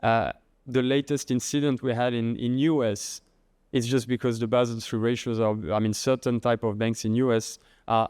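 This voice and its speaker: A middle-aged man from France with a French accent, a guest in a podcast interview, speaking haltingly with many restarts. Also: mid-range voice, a little mellow, smooth, no echo. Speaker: guest, head of treasury and trading.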